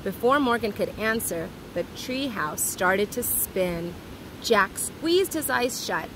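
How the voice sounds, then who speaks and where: Slow-paced woman reading a storybook outdoors. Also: medium pitch, lively intonation. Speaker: teacher reading aloud. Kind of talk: expressive children's storybook read-aloud. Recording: clear outdoor ambient noise.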